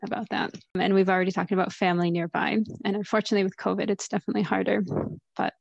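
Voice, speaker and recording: A woman in her thirties hosts a casual interview, prompting her guests with encouraging questions. Her voice stays flat and carries a gravelly fry. The recording sounds dry and close. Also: medium pitch, racing, no echo, dull audio. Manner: encouraging, asks follow-up questions. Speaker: podcast host.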